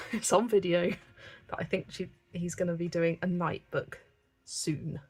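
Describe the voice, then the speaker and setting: A woman's voice: lowish voice, measured pace, husky. A woman from England, chatting casually and reading viewer comments while she colours on a livestream. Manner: chatty, relaxed, thinking aloud with small laughs.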